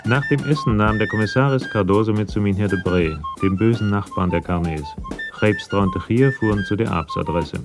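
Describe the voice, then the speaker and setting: Male narrator for radio crime story; low, creaky voice, scripted read with music underneath. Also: fairly quick. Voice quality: gravelly.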